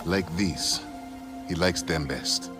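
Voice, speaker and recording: Very slow, deep alien keeper. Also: male voice. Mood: gentle, fond. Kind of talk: video game cutscene acting. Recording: loud music behind the voice.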